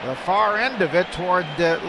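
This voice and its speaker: A man with a fairly high voice and an American accent calls a baseball game live for radio. He is excitable on big plays and conversational between pitches, with plainly audible stadium noise behind him. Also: moderate pace, crowd noise. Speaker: radio baseball play-by-play announcer. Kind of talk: live baseball play-by-play commentary. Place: ballpark broadcast booth.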